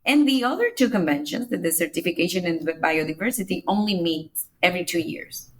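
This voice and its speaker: A woman from the Republic, giving measured step-by-step answers as a podcast guest. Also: on the low side, animated. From Dominican Republic. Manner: measured, explains step by step with small restarts. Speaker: climate negotiator, podcast guest. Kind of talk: explanatory interview answers.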